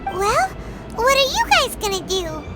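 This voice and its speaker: A woman's voice: extremely high pitch, big pitch swings, unhurried, exaggerated voice. A woman voicing a small, chirpy female fairy companion in a game. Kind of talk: game voice acting. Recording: game audio over loud music.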